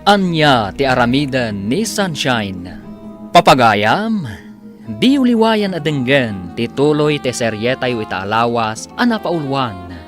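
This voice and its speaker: A man's voice: mid-range voice, very animated, takes it slowly. A man with a Filipino accent reading scripted narration as a radio drama announcer. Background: music.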